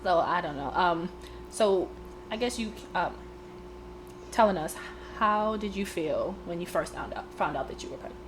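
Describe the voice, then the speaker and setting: A woman's voice: fairly low pitch, lively intonation, normal speed, background noise. A woman hosting a podcast interview. She is under the weather and talks in a casual, friendly way with plenty of fillers. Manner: friendly and chatty, with frequent fillers. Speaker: podcast host.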